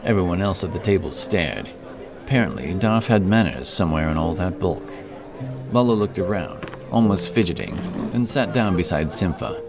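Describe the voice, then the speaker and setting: A man narrating a scripted fantasy story. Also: low-pitched. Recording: narration over prominent music. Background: music.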